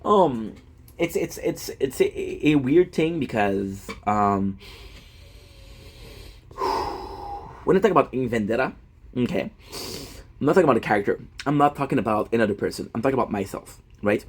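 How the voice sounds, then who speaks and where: A man's voice: mid-range voice, animated, moderate pace, long pauses, breathy edge. A man in his thirties, a gaming content creator, talking in a rambling, self-deprecating monologue full of fillers and restarts. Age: 30s.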